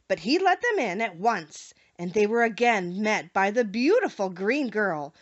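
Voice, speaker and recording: A woman narrating an audiobook of a children's story in an expressive, carefully phrased way. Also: mid-range voice, a little slow, lo-fi sound.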